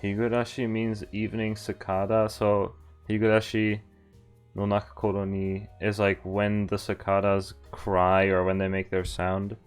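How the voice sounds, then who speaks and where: A male game streamer with a smooth, slightly low voice reads a visual novel aloud. He is playful and chatty, drifting into casual asides, with faint music playing behind him. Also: monotone, unhurried.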